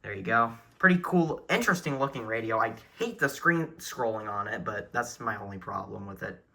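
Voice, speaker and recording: A man's voice: on the low side, animated, brisk, vocal fry, bright, forceful tone. A man giving a casual, chatty show-and-tell narration at home as an enthusiastic hobbyist, filming with a handheld camera. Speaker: weather radio collector presenting his collection. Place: indoor room at home. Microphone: handheld camera, speaker off screen. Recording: faint noise, clicking of buttons.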